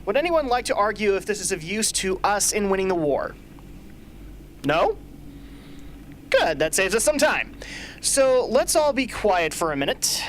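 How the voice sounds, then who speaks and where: A woman playing a brisk, sardonic rebel strategist in an amateur radio drama, speaking emphatically. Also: mid-range voice, sing-song, slight background noise. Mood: brisk, commanding.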